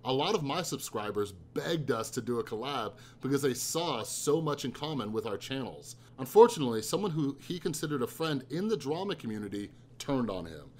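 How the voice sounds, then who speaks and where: A man in his thirties reading a chapter of his own book aloud in a steady, subdued tone. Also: mid-range voice, a little fast, hardly any pauses. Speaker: author.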